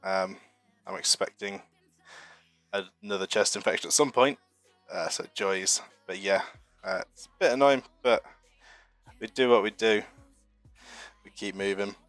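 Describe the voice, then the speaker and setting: A man's voice: on the low side, a little slow, pauses often, a bit bright, no room sound, soft background music. A young man from the UK hosting a live stream, talking in a chatty, rambling, self-deprecating way while getting over a flu. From United Kingdom. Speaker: streamer hosting a marble racing channel. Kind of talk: casual live-stream chat reading chat messages.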